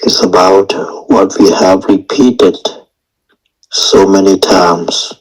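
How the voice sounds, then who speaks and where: A man teaching guided meditation in non-native English phrasing, speaking in short, halting phrases with long pauses. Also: deep voice, fairly even intonation, low-quality recording. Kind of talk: guided meditation and dharma talk.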